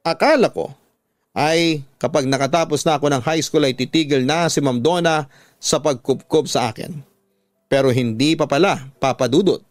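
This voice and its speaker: A man's voice: on the high side, normal speed, dry recording, soft background music. A man with a Filipino accent reading a scripted, dramatic first-person life story as a radio storytelling host.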